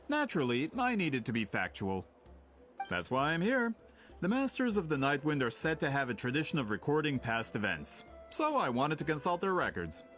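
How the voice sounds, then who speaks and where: An actor playing a self-assured, somewhat impatient author in a video game, pushing to get what he wants. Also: on the high side. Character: pushy male author chasing a story.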